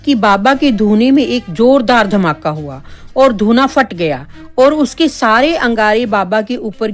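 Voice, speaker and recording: Woman storyteller, fairly high voice, scripted spooky children's narration, background music.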